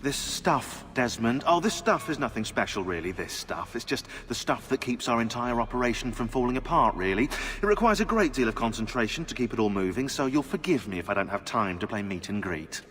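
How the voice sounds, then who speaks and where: An actor playing a self-important, impatient researcher in a video game, sarcastic and wordy. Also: male voice, medium pitch, animated, fairly quick, few pauses, a bit bright, close to an everyday voice. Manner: sarcastic, clipped, verbose. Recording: long reverb, music behind.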